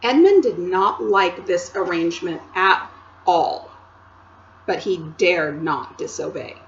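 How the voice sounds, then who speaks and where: A woman teacher reads a chapter book aloud in a slow, unhurried way. Her voice rises and falls a great deal as she tells the story, adding chatty asides to her young listeners. The recording is dull and lo-fi, with a faint hum behind her. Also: on the low side, lively intonation. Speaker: teacher reading to children.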